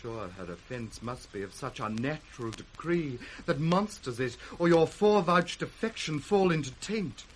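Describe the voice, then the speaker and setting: A man's voice: a little high, animated, moderate pace, hardly any pauses, slight background noise, lightly acted, rough recording. An actor playing a noble, ardent young-hearted king in a 1960s classical radio drama, lofty and earnest. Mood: incredulous. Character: noble, ardent king of France, honourable suitor.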